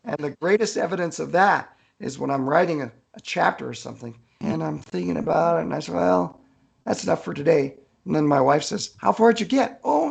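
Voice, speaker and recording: A man with a gravelly, husky voice chatting casually as a guest author, folksy and good-humored in his storytelling. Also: high-pitched.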